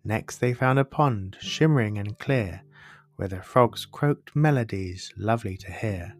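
A man's voice: lowish voice, a little slow, soft background music. A man narrating children's bedtime stories from a script as the storyteller.

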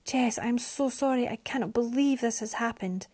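A woman voicing a gentle young storybook heroine, emotional, anguished and pleading. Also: on the high side, moderate pace, breathy edge. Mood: remorseful.

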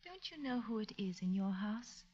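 Woman acting a dreamy young girl in old radio play, tremulous n halting, muffled lo-fi recording. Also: medium pitch, sing-song, a little mellow, close to an everyday voice. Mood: hushed wonder. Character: haunted, dreamy young woman devoted to dead mother. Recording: dull, lo-fi 1940s radio recording.

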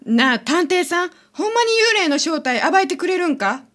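Woman as a high-voiced, blunt Kansai tenant. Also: expressive, moderate pace, a bit bright. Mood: surprised. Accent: Kansai Japanese. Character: blunt, inquisitive apartment tenant client. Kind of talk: radio drama acting.

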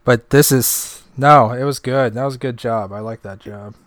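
Deadpan man in a dry room. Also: medium pitch, moderate pace, dry recording. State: feeling under the weather. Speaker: podcast co-host. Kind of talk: casual chat.

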